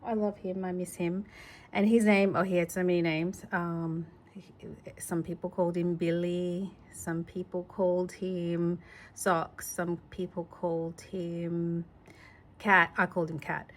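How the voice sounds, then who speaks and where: A woman reading a children's storybook aloud in an informal, gentle, conversational way, adding personal asides for a child. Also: lowish voice, flat delivery, a little slow, slight background noise. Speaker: storybook reader.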